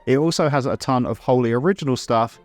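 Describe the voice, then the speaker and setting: A man's voice: medium pitch, fast. A man narrating a scripted video essay in a wry, opinionated way, with casual asides. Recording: music under the voice. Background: background music.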